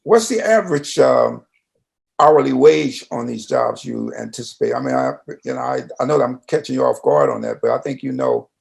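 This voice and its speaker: An older man interviewing someone conversationally, with informal, halting phrasing and repeated starts. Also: mid-range voice, vocal fry, a little mellow.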